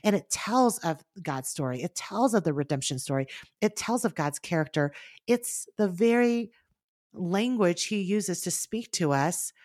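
A woman in her thirties whose native tongue is Mandarin, co-hosting a casual faith podcast with earnest, animated talk that speeds up in bursts. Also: on the low side, unhurried, dry recording, studio quality. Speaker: podcast co-host.

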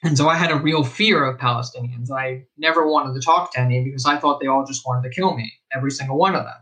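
A man's voice: medium pitch, fast, bright, forceful tone, rough recording. A young man from Israel hosting a livestream, talking in an earnest, reflective way with frequent fillers and restarts. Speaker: host of a live discussion stream.

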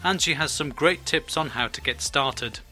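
A man with a bright, forceful voice hosts an interview podcast. He asks questions haltingly, with many fillers. Faint music runs under his intro. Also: fairly high pitch. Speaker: podcast host.

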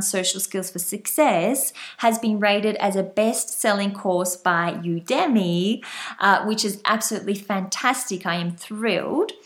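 A woman's voice: medium pitch, fairly bright tone. A woman with a light Australian accent hosting her own podcast as a leadership coach, speaking straight to the listener in an earnest, motivational way. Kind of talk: solo podcast monologue.

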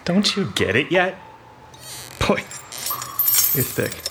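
High-strung, sardonic detective's sidekick, lively pitch. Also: male voice, very slow, full character voice. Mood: smug, menacing. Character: put-upon detective's sidekick turning coolly menacing. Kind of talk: hard-boiled comic audio drama acting. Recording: clear background noise with clicks.

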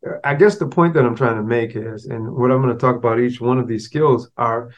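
A man's voice: mid-range voice, steady tone, brisk, muffled recording. Man with a slight stutter, casual podcast. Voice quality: slight stutter with frequent restarts. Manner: conversational, street slang mixed with business terms. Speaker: podcast host. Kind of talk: casual solo podcast talk.

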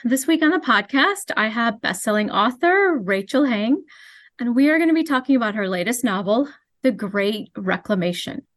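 Higher-voiced woman interviewing. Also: lively intonation, normal speed. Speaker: podcast interviewer. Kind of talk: conversational book interview.

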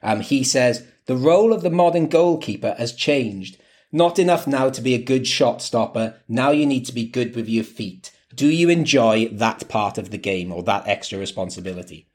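A man hosting a casual football interview, speaking haltingly and repeating words as he goes. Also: medium pitch, animated, darkish tone. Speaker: host of a football fan podcast.

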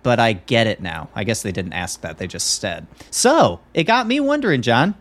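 Guy hosting a podcast, just chatting, normal mid pitch voice. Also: big pitch swings, normal speed, a bit bright. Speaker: podcast host. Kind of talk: casual podcast chat.

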